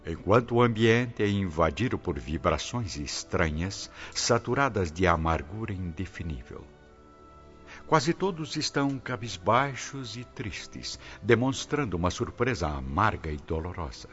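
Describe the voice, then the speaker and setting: A man's voice: low-pitched, very animated, measured pace, a bit bright. A man narrating a radio drama from a script in a solemn, dramatic style. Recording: muffled, music behind.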